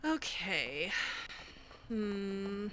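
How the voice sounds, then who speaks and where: A woman doing casual, in-character video game commentary, chatting and thinking out loud as she plays. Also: medium pitch, lively intonation, very slow, a little hiss or hum, rough recording. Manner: chatty, musing aloud. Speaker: gamer narrating a roleplay playthrough.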